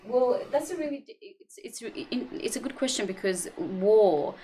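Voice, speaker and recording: A woman's voice: a little high, expressive, moderate pace, warm tone. A woman from Afghanistan speaking as an expert guest in a panel discussion, explaining things in a hesitant way as she searches for words. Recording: clearly noisy background.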